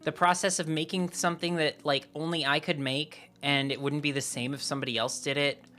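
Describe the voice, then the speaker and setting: A young woman streamer chatting casually and candidly with her viewers, her voice lowered by a sore throat, rambling with lots of "like" fillers. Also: steady tone, brisk, fairly bright tone, soft background music. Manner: rambling and candid, heavy use of "like". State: sore throat, voice deeper than usual. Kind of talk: casual live-stream chat.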